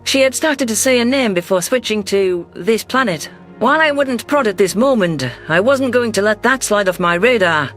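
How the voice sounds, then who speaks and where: A man's voice: high voice, expressive, normal speed, few pauses, a bit bright. A man reading a scripted first-person story as its narrator, in an audio drama. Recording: music bed under voice.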